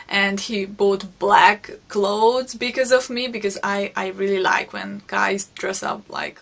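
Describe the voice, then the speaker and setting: Slow-speaking Hungarian woman vlogger. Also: mid-range voice, creaky, a bit bright. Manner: unhurried, reflective, frequent restarts. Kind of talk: personal storytelling vlog.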